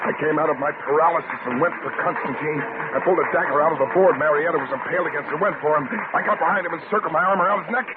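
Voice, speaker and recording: A man's voice: a little high, very fast, few pauses, heavy background noise, lightly acted. An actor playing a hard-boiled, haunted man narrating and living a murder plot in a terse, sardonic 1940s radio thriller style. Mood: frantic. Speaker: actor narrating as lead character. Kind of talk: 1940s radio thriller acting, first-person narration and dialogue. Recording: tinny old radio recording, loud music behind.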